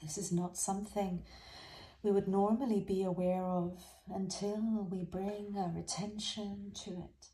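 Slow, mellow woman guiding meditation. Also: medium pitch, flat delivery, low-quality recording. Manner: gentle, soothing, unhurried with soft phrase endings. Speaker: mindfulness meditation guide. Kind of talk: guided body scan meditation.

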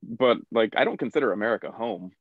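A man's voice: mid-range voice, expressive, fast, dry recording. A man in his thirties chatting casually as a podcast co-host on a video call, hesitant with many restarts. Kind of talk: casual chat. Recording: dull, dry home mic.

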